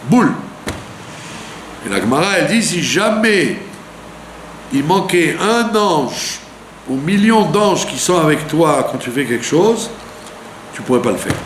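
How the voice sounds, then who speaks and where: Animated rabbi teaching in an echoey room. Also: male voice, on the high side, unhurried, long pauses. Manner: animated teaching, with rhetorical questions and frequent "d'accord?". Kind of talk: Torah lesson sermon. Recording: echoey room with faint hum.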